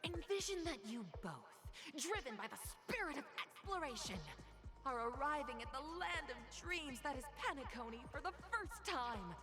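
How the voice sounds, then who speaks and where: Dramatic game character, acting judge, high gushy voice, music behind. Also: female voice, big pitch swings, moderate pace. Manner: theatrical, descriptive, gushing. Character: gushing, dramatic female acting judge setting scenes.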